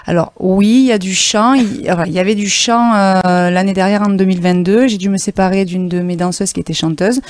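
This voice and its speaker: A woman's voice: medium pitch, fairly even intonation, fairly quick, few pauses, darkish tone. A woman choreographer from Provence, an enthusiastic radio interview guest who talks with animation and laughs often. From Provence, France. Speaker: choreographer and dance company director.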